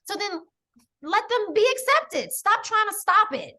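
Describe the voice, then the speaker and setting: A high-voiced American woman in middle age, talking as a podcast guest. She tells stories in an emphatic, animated way, leaning on "like" and "right?" and pressing her points hard. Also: unhurried. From United States. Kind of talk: casual conversation.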